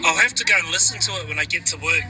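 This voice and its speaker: Kiwi-accented man, relaxed and flat-toned, talking on a call from his car, pitched fairly high, background noise. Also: very fast. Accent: New Zealand. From New Zealand. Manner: laid-back, a bit monotone. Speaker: group chat member. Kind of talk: casual group call. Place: in a car, driving.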